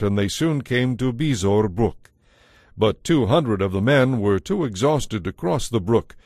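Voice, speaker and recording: A man narrating a dramatized Bible as scripted storytelling, in a solemn, measured manner. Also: medium pitch, a little mellow, no room sound, studio quality.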